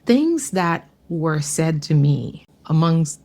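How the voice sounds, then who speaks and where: A woman from Haiti giving an earnest, measured personal testimony. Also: low-pitched, sing-song, a little mellow.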